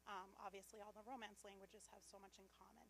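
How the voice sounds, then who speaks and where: A woman's voice: mid-range voice, level tone, fairly quick, gravelly, a bit bright, a little hiss or hum. A woman speaking casually and enthusiastically as a parent at a school information session. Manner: upbeat, chatty, laughs often. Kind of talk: casual testimonial.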